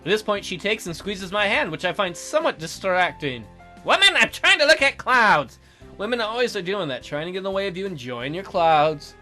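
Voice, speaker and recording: A man's voice: sits high, expressive, brisk, few pauses, fairly bright tone, faint music behind. A man reading a game's story aloud and adding sarcastic, sweary asides as commentary. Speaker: let's player. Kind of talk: joking game commentary with read-aloud narration.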